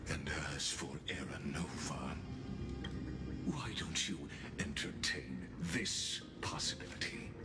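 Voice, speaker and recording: A male actor playing a cold, calculating game villain who speaks in a deliberate, formal, ominous manner. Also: low voice, exaggerated voice. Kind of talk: scripted game dialogue. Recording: loud music over the voice.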